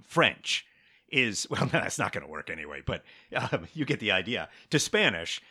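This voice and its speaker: Low lively joking podcast host. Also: male voice, normal speed, husky. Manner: joking, playful, sarcastic asides. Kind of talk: casual podcast conversation.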